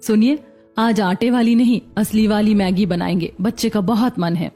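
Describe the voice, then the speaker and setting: A woman's voice: mid-range voice, steady tone, moderate pace, mellow, soft background music, close to an everyday voice. A woman playing a caring, sensible young mother and daughter-in-law in a cartoon family drama. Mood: reassuring.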